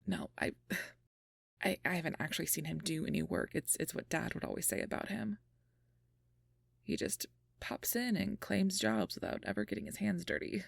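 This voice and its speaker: A performer playing a sarcastic, protective young supernatural hunter in an intimate audio role-play, with casual, wry and often breathy banter. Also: medium pitch, sing-song, normal speed, no echo, exaggerated voice. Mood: wry, reassuring.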